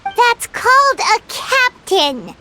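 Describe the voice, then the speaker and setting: A woman voicing a small, excitable, cheeky fairy girl companion in a video game, with a squeaky childlike voice. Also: slow, full character voice. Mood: exasperated. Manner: refers to self in third person, exclamatory. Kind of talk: video game character acting. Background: music.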